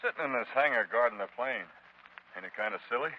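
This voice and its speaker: A male actor plays a simple, easily spooked soldier in 1930s radio drama. His speech is hesitant and jittery and leaps around in pitch, on a dull, faintly noisy recording. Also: lively intonation, quick pace, close to an everyday voice. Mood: uneasy, deferential.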